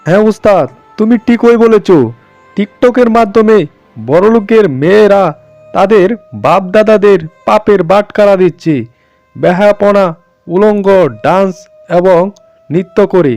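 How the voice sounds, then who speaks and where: A man's voice: high-pitched, fairly even intonation, a little slow, long pauses, darkish tone, dry recording, soft background music. A man voicing a deferential male fox sidekick in a cartoon, inquisitive and eager to agree. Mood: agreeing, disapproving. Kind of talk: cartoon voice acting.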